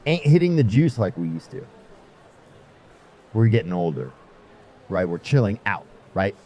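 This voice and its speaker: A man's voice: on the low side, very animated, a little slow, dark tone, slight background noise. A man playing a menacing, folksy drifter in a sci-fi audio drama, talking in a taunting way. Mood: sly, familiar.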